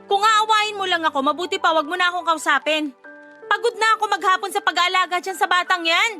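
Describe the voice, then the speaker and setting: An actress playing a resentful, defensive young wife in a Tagalog radio drama, arguing and complaining emphatically. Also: female voice, extremely high pitch, a little fast, pushed and bright, faint music behind, full character voice. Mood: indignant. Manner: indignant, argumentative, emphatic complaining.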